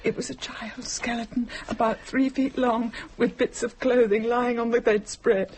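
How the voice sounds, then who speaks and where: A woman's voice: a little high, little pitch movement, moderate pace, darkish tone, slight background noise, close to an everyday voice, low-quality recording. An actress playing a frightened, insistent woman in a radio horror play, speaking in a haunted, adamant way. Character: frightened, insistent woman who saw an apparition.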